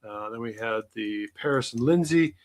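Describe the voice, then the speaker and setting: A man's voice: mid-range voice, moderate pace. A man co-hosting a podcast, introducing a guest in a rambling, conversational way. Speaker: podcast co-host. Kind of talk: casual podcast talk.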